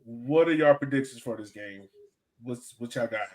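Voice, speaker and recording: A man's voice: medium pitch, normal speed, a little mellow, rough recording. Informal, slangy man hosting sports chat. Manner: informal, slangy, playful banter. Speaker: podcast host. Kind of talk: casual sports panel chat.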